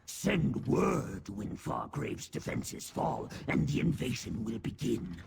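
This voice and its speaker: Gravelly, boastful little imp servant. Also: male voice, medium pitch, sing-song, slow, darkish tone, slight background noise, heavily acted. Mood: haughty. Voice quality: gravelly, creaky. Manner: pompous, theatrical, fawning to a master. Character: boastful, servile male daedric imp, eager and haughty. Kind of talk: fantasy video game acting.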